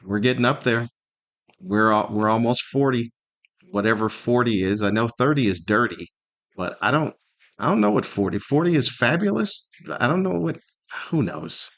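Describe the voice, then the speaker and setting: A man's voice: medium pitch, expressive, normal speed, fairly bright tone. A middle-aged or older man hosting a solo podcast, rambling casually with wry humor and plenty of rhetorical questions. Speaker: podcast host.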